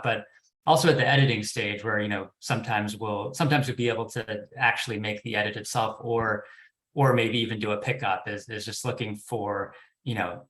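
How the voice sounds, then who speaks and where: A man's voice: lowish voice, fairly bright tone. A man answering as a panelist, conversational and tentative as he works through his thoughts. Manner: tentative, hedging delivery. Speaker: panelist, podcast development executive. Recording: echoey, reverberant room.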